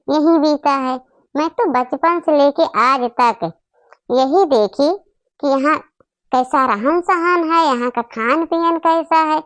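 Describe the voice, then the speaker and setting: A woman's voice: high voice, no echo. A woman voicing a boastful, snobbish young married daughter in a family comedy cartoon, bragging and complaining as she talks.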